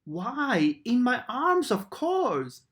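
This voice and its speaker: A woman voicing a brisk, slightly haughty mother monkey in a storybook. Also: fairly high pitch, very animated, pitched above the performer's own voice, full character voice. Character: brisk, no-nonsense mother monkey, female adult.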